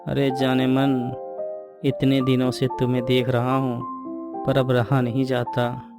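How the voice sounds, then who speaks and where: Cartoon story villain: a man voicing a leering young lecher, mid-pitched, brazen and menacing, light music underneath. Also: monotone, unhurried, natural voice. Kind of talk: Hindi animated moral-story dialogue.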